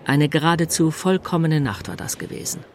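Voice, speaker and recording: An actor playing a sober systems consultant, a smitten city single, matter-of-fact in a German radio play. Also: male voice, on the high side, brisk, slight background noise, natural voice. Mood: amazed.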